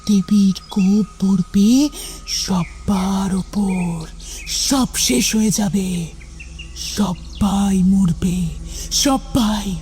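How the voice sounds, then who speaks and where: Very slow, crazed doomsaying madwoman. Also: medium pitch, dark tone. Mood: crazed, ominous. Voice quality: hissing, raspy cackle. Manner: slow, drawn-out, repetitive menacing delivery. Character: deranged village madwoman ranting doom and curses.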